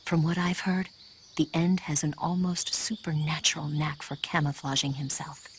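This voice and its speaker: An actress playing a composed, encouraging female spy in a video game, measured and reassuring. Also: deep voice, steady tone, slight background noise, natural voice. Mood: calm, cautionary. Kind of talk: dramatic video game voice acting.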